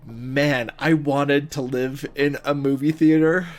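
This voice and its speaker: Slightly higher-voiced man in casual conversation. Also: steady tone, takes it slowly. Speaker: podcast co-host. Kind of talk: casual chat about movies.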